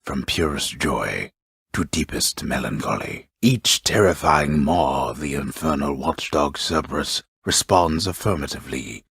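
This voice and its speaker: Very deep, husky grave storyteller. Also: male voice, lively intonation, fairly bright tone, dry recording, studio quality, heavily acted. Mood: solemn, wry. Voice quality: gravelly, breathy husk. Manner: grave, theatrical, deliberate literary phrasing. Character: solemn old-fashioned omniscient storyteller with wry gravity. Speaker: video game narrator. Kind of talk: scripted dramatic storytelling narration.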